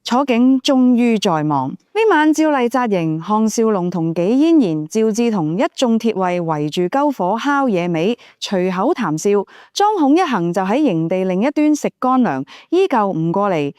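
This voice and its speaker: A woman's voice: mid-range voice, big pitch swings, a little slow, hardly any pauses, darkish tone, clean tone, no echo, clean studio sound. A woman reading scripted novel narration. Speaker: audiobook narrator.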